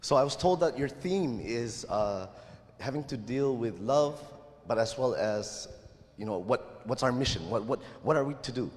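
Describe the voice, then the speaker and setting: Man, preacher, a bit sick, voice lowered, halting repeats, long hall reverb. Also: animated. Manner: halting, repeats words, measured pauses for the interpreter. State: sick, voice lower than usual. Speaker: guest preacher. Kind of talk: sermon with live interpretation.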